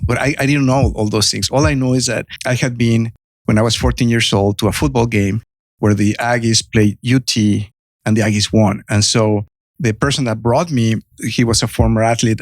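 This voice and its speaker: Gravelly Mexican-accented man in his fifties. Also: lowish voice, dry recording. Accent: Mexican Spanish. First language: Spanish. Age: 50s. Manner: earnest, with frequent restarts. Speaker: guest entrepreneur. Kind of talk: podcast interview.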